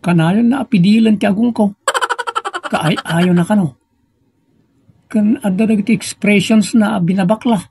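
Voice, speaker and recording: Actor as a high, husky, suspicious gossiping man. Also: steady tone, a little slow, pauses often, warm tone, heavily acted. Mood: uneasy, confiding. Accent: northern Philippine. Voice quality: husky, creaky. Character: suspicious, gossiping man uneasy about friend's father. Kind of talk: Ilocano comedy radio-style drama acting.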